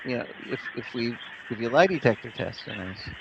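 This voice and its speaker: A middle-aged man telling casual anecdotes with dry humor in a relaxed discussion. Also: mid-range voice, fast talker, vocal fry. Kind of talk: casual storytelling. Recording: dull lo-fi sound, faint noise.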